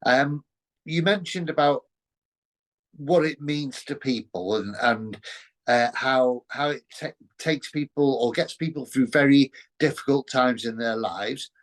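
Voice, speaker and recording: A man hosting a casual interview, speaking in halting, hesitant phrases. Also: medium pitch. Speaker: interview show host.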